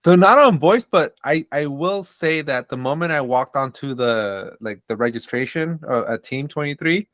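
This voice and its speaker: A man's voice: fairly high pitch, lively intonation, moderate pace, hardly any pauses, a bit bright, no echo, clean studio sound. A man in his thirties, hosting an interview from his home office over a video call and joking along with his guest. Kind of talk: casual interview.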